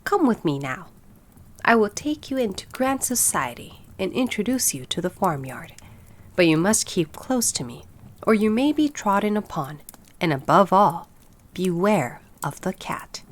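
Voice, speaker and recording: A woman's voice: medium pitch, big pitch swings, a little slow. A woman voicing a fond, proud and bossy mother duck in a storybook, with a fussy, instructive manner. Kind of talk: storybook character voice.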